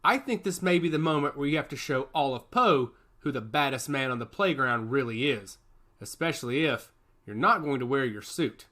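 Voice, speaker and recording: Man playing a goading, animated soldier buddy. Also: medium pitch, normal speed. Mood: confident, goading. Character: confident, goading male soldier comrade.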